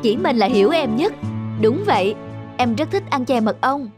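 An adoring young rabbit wife in a cartoon fairy tale. She has a high voice and gushes with sweet affection. There is clear music behind her. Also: sing-song, normal speed. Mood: delighted. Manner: gushing, affectionate. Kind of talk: animated fairy tale acting.

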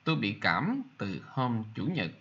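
A man with a Vietnamese accent teaching English, reading each sentence in Vietnamese and then repeating it slowly in English for learners to copy. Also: mid-range voice, very animated, a bit bright, slightly rough, dull audio. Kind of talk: slow bilingual drill, repeating each sentence.

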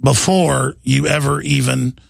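Male talk radio host, middle-aged, hoarse and gravelly, relaxed and rambling, dry studio mic. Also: medium pitch, unhurried, no echo. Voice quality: gravelly, raspy. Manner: relaxed, rambling, repeats words. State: hoarse after pneumonia. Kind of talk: talk radio monologue with ad reads. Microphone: studio microphone.

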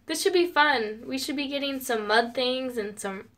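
Casting: excitable, prim teenage girl, high-pitched played by a woman. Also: moderate pace. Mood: excited.

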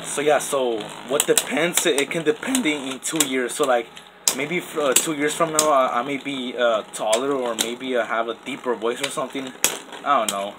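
A man's voice: medium pitch, moderate pace, noisy background. A young man, possibly a teen, chatting off camera as he runs toy top battles, rambling with lots of "like" and restarts. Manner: rambling, full of "like" and "literally", many restarts. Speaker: toy battle channel host. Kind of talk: casual off-camera commentary over toy battles.

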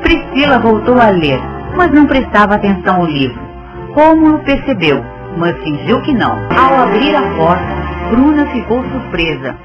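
A woman's voice: a little high, big pitch swings, normal speed. A woman narrating a scripted radio drama. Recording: dull lo-fi sound. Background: music.

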